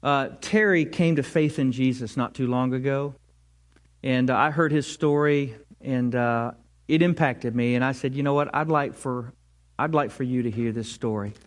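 A man's voice: mid-range voice, normal speed. A man preaching as pastor, inviting the congregation to read scripture with him and introducing a guest. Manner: inviting, leads scripture reading. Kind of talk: sermon.

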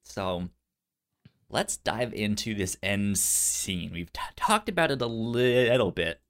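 A man co-hosting a podcast, casually discussing a film with a halting, stuttering delivery. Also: lowish voice, lively intonation, a bit bright. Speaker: podcast co-host.

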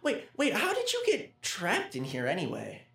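A woman's voice: medium pitch, wildly swooping pitch, moderate pace, vocal fry, a bit bright, full character voice. A woman playing a timid but eager twelve-year-old boy in an audio drama, speaking hesitantly with frequent stammers. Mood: uncertain, nervous. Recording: roomy, echoing sound.

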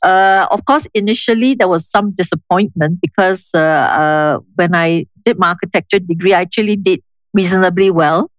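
An older woman with a Singaporean accent, speaking in a measured, reflective, explanatory way as an interview guest. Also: on the low side, dry recording, rough recording. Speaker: interview guest, urban planning official. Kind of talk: conversational interview, reminiscing.

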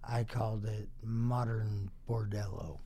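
A middle-aged or older man reminiscing casually as an interview guest, halting and wryly dry in his phrasing. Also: fairly low pitch, flat delivery, warm tone, a little hiss or hum.

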